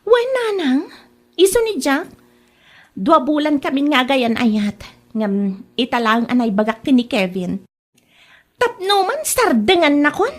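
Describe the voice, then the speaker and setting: Woman doing stubborn girl in love, comedy drama, really high voice, super lively. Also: measured pace, long pauses, faint music behind. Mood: defiant. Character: stubborn, lovestruck young woman, a daughter in love.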